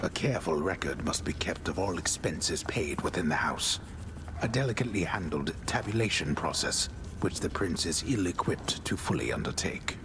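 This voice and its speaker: An ultra-deep, hushed old bard. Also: male voice, animated, normal speed, noisy background. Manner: hushed, ornate, mock-solemn. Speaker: narrator. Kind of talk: video game narration. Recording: game audio with music behind.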